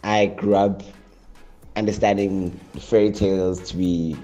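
Low-voiced, playfully incredulous man. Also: steady tone, measured pace, dark tone, faint music behind, low-quality recording. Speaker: radio listener sending a message.

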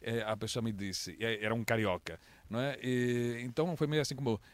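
Lively Brazilian man with a mixed accent. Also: fairly quick. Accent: mixed Brazilian Portuguese. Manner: animated storyteller, lively pitch jumps. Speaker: guest, international correspondent. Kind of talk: casual interview chat.

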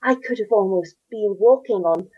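A woman's voice: medium pitch, normal speed, breathy edge, dull audio. A woman with a Northern Irish accent, an author answering interview questions with enthusiasm and a storytelling lilt. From Northern Ireland. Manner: enthusiastic, warm storytelling with laughs. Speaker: children's author.

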